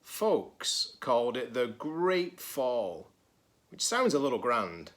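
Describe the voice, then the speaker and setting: A man voicing a wistful, anxious storybook egg who recounts his fall in an earnest, deliberate way. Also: mid-range voice, very animated. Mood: wistful, fond.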